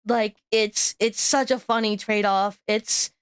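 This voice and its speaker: A woman's voice: mid-range voice, steady tone, takes it slowly, smooth, dry recording. A young woman chatting casually on her stream, rambling and laughing at herself, with a stutter and many restarts. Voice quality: stutters, with frequent repeats and restarts. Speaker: VTuber streamer hosting a guest. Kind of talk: casual chat.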